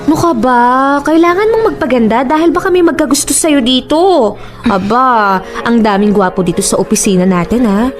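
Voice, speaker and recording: An actress playing a friendly, teasing young office coworker in a radio drama, with breezy, slangy delivery. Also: female voice, a little high, normal speed, close to an everyday voice. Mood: playful, teasing. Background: faint music.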